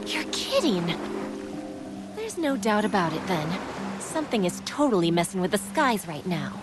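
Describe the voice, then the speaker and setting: Actress as a hot-tempered sassy game gunner girl, lively swooping voice, loud music under it. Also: mid-range voice, moderate pace, lightly acted. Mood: startled. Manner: sassy, exasperated. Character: brash, hot-tempered young woman sharpshooter. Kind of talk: video game voice acting.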